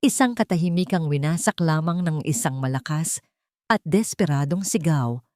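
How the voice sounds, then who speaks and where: A woman's voice: sits low, a little mellow, dry recording, clean studio sound. A woman narrating a scripted story in a solemn, melodramatic manner.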